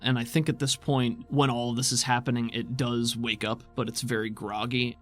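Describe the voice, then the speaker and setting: A man's voice: medium pitch, little pitch movement, moderate pace, fairly bright tone, soft background music. A man working as game master, narrating a tabletop game in an easygoing, descriptive, conversational way.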